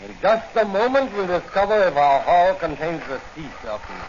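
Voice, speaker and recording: An actor playing a science professor in 1940s radio style, formal and precise, with an authoritative, emphatic delivery. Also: male voice, sits high, expressive, normal speed. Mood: commanding. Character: excitable male marine-science professor, grave and authoritative. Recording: dull, noisy 1940s radio recording.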